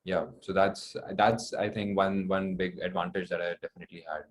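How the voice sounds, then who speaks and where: Low-voiced young man, noisy recording. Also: little pitch movement, moderate pace. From New Delhi, India. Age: young adult. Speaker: interview guest, singer-songwriter. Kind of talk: casual interview conversation.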